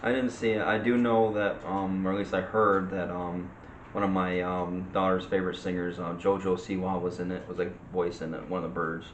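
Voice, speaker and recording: A man co-hosting a casual chat, speaking in a hesitant, halting way. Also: sits low, level tone. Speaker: co-host. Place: indoors in an echoey room. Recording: echoey room with faint background noise.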